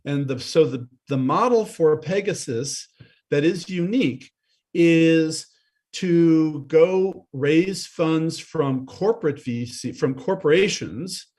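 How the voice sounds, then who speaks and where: Brief: male panelist on a video call, smooth business pitch, a few restarts. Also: slow pace, darkish tone. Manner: fluent business pitch with some repeated starts. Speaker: venture capital partner, panelist.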